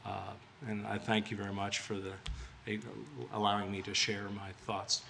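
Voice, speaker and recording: Halting, even-toned man giving a memorial tribute. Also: lowish voice. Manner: halting and hesitant, with frequent pauses. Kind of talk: informal eulogy speech. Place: memorial gathering at a retirement community. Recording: live speech with clear background noise.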